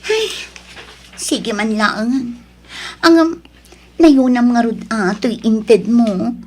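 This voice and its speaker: A woman acting a high-voiced, coy young mistress. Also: takes it slowly, a little hiss or hum. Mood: coy, teasing. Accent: Ilocano. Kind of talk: melodramatic radio drama acting.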